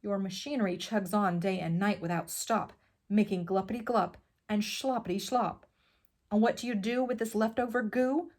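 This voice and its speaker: Woman doing the Lorax, croaky and scolding. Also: medium pitch, lively intonation, a little slow. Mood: indignant. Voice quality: croaky, coughing. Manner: scolding, indignant. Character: small grumpy male forest creature, scolding.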